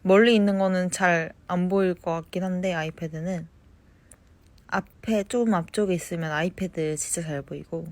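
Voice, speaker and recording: A young woman chatting casually on a phone livestream, reading fan comments and answering them softly, at times slipping into whispers. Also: fairly low pitch, fairly even intonation, dry recording. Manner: slow, soft-spoken, drifts into whispers. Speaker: idol livestreaming to fans.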